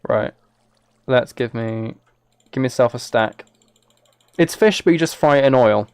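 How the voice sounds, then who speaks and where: A man's voice: mid-range voice, unhurried, a little mellow, clear, resonant tone. A British man chatting casually on a game livestream, talking to viewers in a mock-exasperated, self-deprecating way. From United Kingdom. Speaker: live streamer.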